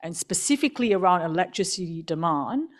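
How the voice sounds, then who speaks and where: A woman with a loud voice answering a panel question at a conference, setting the scene with occasional fillers. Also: lowish voice, animated, brisk. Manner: says she has a loud voice; zooms out to set the scene, with fillers. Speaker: panelist, energy agency regional head. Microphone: stage microphone.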